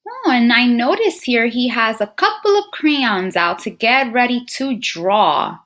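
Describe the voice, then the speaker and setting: A woman teacher reading a picture book aloud to young children, expressively, pausing to muse and put questions straight to her listeners. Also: on the high side. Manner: expressive, addresses children directly with frequent questions and musing hums.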